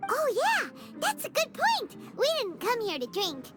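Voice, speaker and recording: Squeaky tiny excitable companion. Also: female voice, a little slow, heavily acted. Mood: exasperated, matter-of-fact. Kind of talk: cartoonish game acting. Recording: loud music under the voice.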